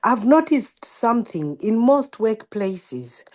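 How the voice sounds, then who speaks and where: A woman's voice: fairly high pitch, big pitch swings, takes it slowly, darkish tone. A woman on a video call who tentatively raises a workplace observation and asks the group whether others share it. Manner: tentative, questioning, searching for words. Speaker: workshop participant.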